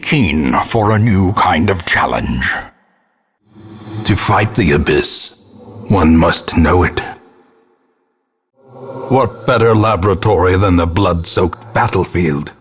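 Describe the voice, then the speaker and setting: An actor voicing a grim, guilt-ridden old ancestor who narrates a gothic horror game in solemn, ornate, theatrical phrases with a husky voice. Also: male voice, low-pitched, heavily acted. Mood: solemn, foreboding. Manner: solemn, ornate, deliberate dramatic delivery.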